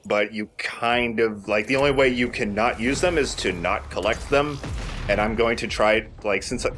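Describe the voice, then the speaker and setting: A man's voice: fairly low pitch, moderate pace, a bit bright, slight background noise. A man hosting a let's play, talking casually as he comments on a video game.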